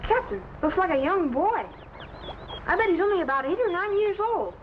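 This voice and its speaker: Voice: very high voice, lively intonation, moderate pace. A performer playing an eager boy assistant in a sci-fi serial, keen and respectful. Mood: curious. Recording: thin, phone-like, hissy vintage recording.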